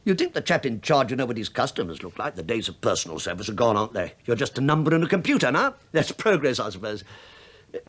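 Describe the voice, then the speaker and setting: Wily old British inspector, swooping voice. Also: male voice, mid-range voice, sing-song, fast talker, a bit bright. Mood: sly, mock-polite. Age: older. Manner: rambling, mock-polite, needling. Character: wily, falsely humble old police inspector. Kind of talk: radio play acting.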